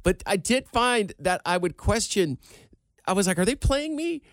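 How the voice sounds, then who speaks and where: Man telling stories in animated chat. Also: high voice, moderate pace, dry recording, clean studio sound. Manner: playful storytelling, self-mocking. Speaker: co-host. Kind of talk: casual radio-style chat.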